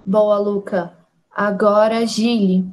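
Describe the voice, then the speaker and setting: A woman's voice: medium pitch, flat delivery, slow pace, a little mellow, low-quality recording. A woman hosting an online group meeting, giving short friendly remarks and calling on each participant. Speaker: host of an online study group. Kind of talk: casual meeting moderation.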